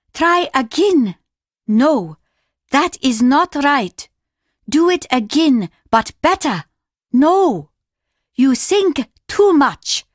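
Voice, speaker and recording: An actress playing a strict, formal Japanese woman teacher in a video game, measured and precise in her speech. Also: high voice, no echo, studio quality, exaggerated voice. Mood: stern, exacting. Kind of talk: scripted game dialogue.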